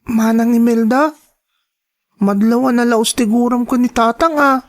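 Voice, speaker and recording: Woman acting as young child, high-pitched, innocent, caring, questioning, earnest radio drama delivery. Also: fairly even intonation, measured pace, lightly acted. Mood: concerned.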